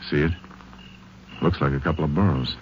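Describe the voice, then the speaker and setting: An actor playing a steady, firm Texas Ranger in a radio western, terse and plain-spoken. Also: male voice, rumbling low, brisk. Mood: calm, businesslike. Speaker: lead of the show, also narrating. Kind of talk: 1950s radio western acting and narration. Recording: muffled old radio recording, faint hiss.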